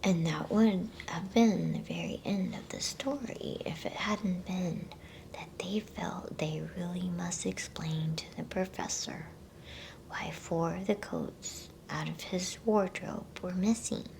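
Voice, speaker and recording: Female ASMR storybook reader: hushed breathy whisper, very slow delivery, crackly fry, intimate close mic. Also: lowish voice. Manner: hushed, unhurried, with playful asides. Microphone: close microphone.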